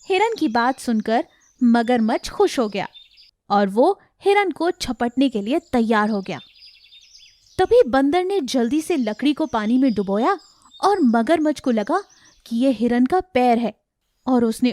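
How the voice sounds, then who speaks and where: High-pitched woman storyteller. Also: lively intonation, a little slow, a little mellow. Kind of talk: scripted children's story narration.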